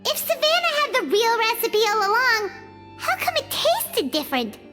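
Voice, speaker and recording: A woman voicing a small, childlike, inquisitive sidekick creature in a video game, with a squeaky, outspoken delivery. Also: very animated, normal speed, in a large hall, loud music behind the voice. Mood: puzzled.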